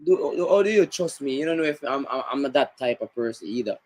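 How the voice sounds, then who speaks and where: Male radio host with an animated, lively voice; pushy and interrupting, dry close mic. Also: mid-range voice, fast talker, no echo. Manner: pushy, interrupts, repeats himself.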